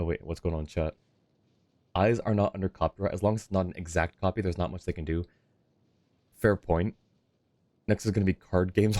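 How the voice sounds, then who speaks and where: A man's voice: sits low, mellow, dry recording. A man casually chatting and commentating on a game livestream, thinking aloud in a rambling way. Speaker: game streamer and host. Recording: music playing behind the voice. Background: game music.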